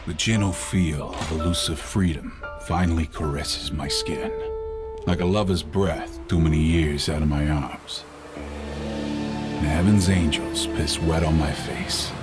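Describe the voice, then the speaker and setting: An actor playing a brooding, bitter ex-convict antihero, narrating a film noir game in a slow, world-weary way. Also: male voice, a bass voice, steady tone. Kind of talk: film noir voice-over acting. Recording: music behind the voice.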